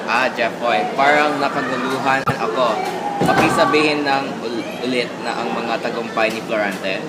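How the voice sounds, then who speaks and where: A man's voice: medium pitch, moderate pace, close to an everyday voice. A man playing an overwhelmed student in a scripted school radio drama. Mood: puzzled. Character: overwhelmed male student close to giving up. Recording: very noisy amateur recording.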